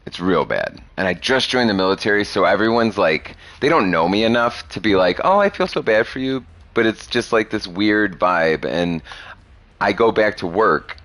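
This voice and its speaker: Podcast host, man, 30s, low voice, blunt and profane, close dry mic. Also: animated, normal speed, a bit bright, no echo. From Chicago area. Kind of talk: casual chat.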